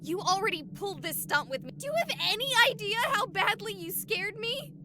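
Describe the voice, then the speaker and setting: A woman's voice: extremely high pitch, animated, a little fast, background noise. A woman voicing a sarcastic, exasperated young female streamer in a horror video game, wisecracking and dramatic. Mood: angry, rattled.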